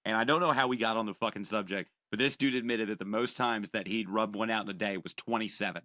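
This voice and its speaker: A man's voice: lowish voice, steady tone, fast talker, sharp tone, dry recording. A man in his thirties, a podcast co-host, bantering casually with dry, profane wisecracks.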